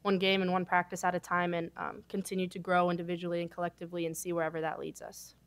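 A young woman basketball player answering reporters at a postgame press conference, quick and relaxed with frequent fillers, into a table microphone. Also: lowish voice, clean tone. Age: young adult.

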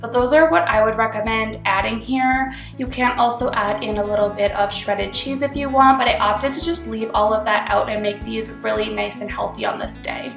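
A young woman with a slightly high voice, a bit congested from a cold, talks through a recipe in a chatty, upbeat way. She is recorded in a roomy home kitchen, with light music playing underneath. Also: normal speed, creaky, few pauses. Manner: chatty and upbeat, explaining step by step. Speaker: cooking channel host. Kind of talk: casual cooking tutorial voice-over.

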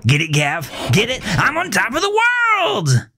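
Man acting out manic, high-flying shouting wild man. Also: wildly swooping pitch, normal speed, slight background noise, heavily acted. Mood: gleeful taunting. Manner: theatrical, exuberant, shouting and singsong. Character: manic cocaine-high taunting man, imaginary friend.

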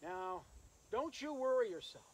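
A man, a minister, speaking solemnly and with pauses at a graveside service, reading scripture, praying and preaching outdoors. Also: high voice, big pitch swings. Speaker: minister officiating a funeral. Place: outdoors in an open rural cemetery. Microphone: camera several metres away. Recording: outdoor recording with insect and nature noise.

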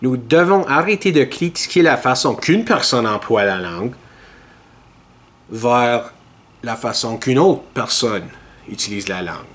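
A man, hosting a podcast and giving measured, opinionated commentary to a webcam in a small room. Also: mid-range voice, lively intonation, fairly bright tone. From Ontario, Canada. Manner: measured, earnest and opinionated, talks straight to camera. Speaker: podcast host. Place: small indoor room with dark curtains.

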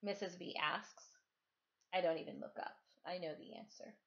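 A woman's voice: on the low side, moderate pace, gravelly, a bit bright. A middle-aged woman, a teacher reading aloud and talking to her pupils from her living room. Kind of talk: storytime reading of a novel, then informal address to pupils. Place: living room at home, on a couch. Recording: dull, lo-fi webcam sound.

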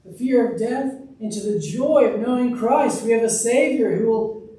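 A man's voice: very high-pitched, moderate pace, dark tone. A man in his thirties, a pastor, preaching an earnest, emphatic sermon from a pulpit in a church sanctuary. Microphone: room mic, far from speaker. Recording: echoey distant church recording.

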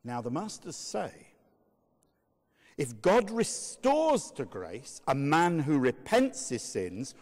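Male sermon reader, slightly high voice, measured dramatic delivery, long hall reverb. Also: wildly swooping pitch, a little mellow. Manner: measured, emphatic, oratorical. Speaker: narrator, reader. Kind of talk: dramatic reading of a medieval sermon.

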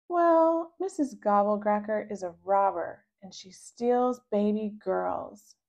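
Woman playing a scheming big sister, bossy, teasing, conspiratorial, slow storybook telling. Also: mid-range voice, vocal fry, a little mellow, lo-fi sound.

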